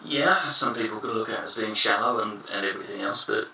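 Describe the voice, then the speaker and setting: A man's voice: lowish voice, a little fast, pushed and bright. A man in his thirties giving frank, rambling advice in a casual monologue. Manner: frank, rambling, blunt opinions. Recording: echoey room, somewhat far from mic.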